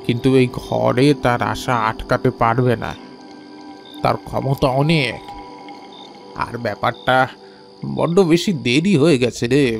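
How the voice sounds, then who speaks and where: An actor playing a grave village elder in a horror audio drama, gravelly and fatalistic, with a weighty delivery. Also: male voice, mid-range voice. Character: grave village elder warning of a doomed boy.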